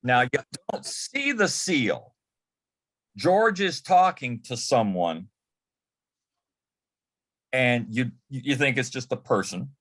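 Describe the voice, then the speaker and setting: A man's voice: mid-range voice, very animated, slow pace, pauses often, fairly bright tone. A man telling an anecdote casually as a panel guest, correcting himself as he goes. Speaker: panel guest, former celebrity assistant.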